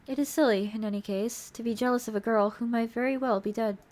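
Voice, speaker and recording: A woman's voice: a little high, steady tone, quick pace, a little mellow. A woman streamer who narrates a visual novel aloud and chats casually with viewers. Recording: music playing behind the voice. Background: music.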